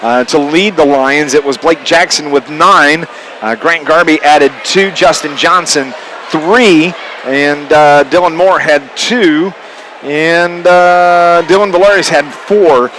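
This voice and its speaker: An American man works as a radio sports announcer, recapping a game and its scores. His voice sits a little higher than most men's. Faint venue noise runs behind him. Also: slow pace, fairly bright tone, low-quality recording. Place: at a high school game venue.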